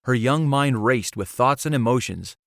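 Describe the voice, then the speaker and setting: A man narrates a fantasy audiobook in a medium-pitched voice. The delivery is scripted storytelling, recorded close with no room sound. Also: moderate pace, gravelly, no room sound. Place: close, dry recording space.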